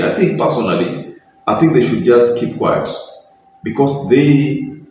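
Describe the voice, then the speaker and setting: Young man, Kenyan accent, earnest political commentary, lowish voice, very echoey bedroom. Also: dark tone, a little hiss or hum. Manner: earnest, opinionated, mixes in Swahili phrases. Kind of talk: direct-to-camera political commentary. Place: small bedroom, indoors. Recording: very echoey room, slightly distant mic.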